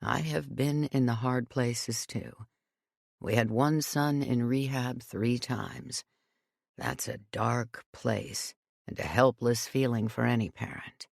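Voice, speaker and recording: A woman reading voices a gentle, kindly elderly man who speaks softly, calmly and patiently. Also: rumbling low, slow, creaky, no echo, studio quality, heavily acted.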